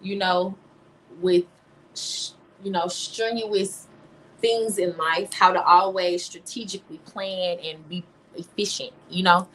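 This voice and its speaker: A woman's voice: fairly low pitch, fairly even intonation, slow, fairly bright tone, slight background noise, poor audio. A young American woman talking casually and emphatically as an interview guest, often adding "you know". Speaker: interview guest, teacher and singer-songwriter. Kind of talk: casual conversational interview answers.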